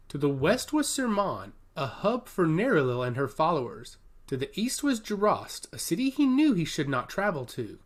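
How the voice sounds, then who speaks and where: A man reading a scripted fantasy story aloud as its narrator. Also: a little high.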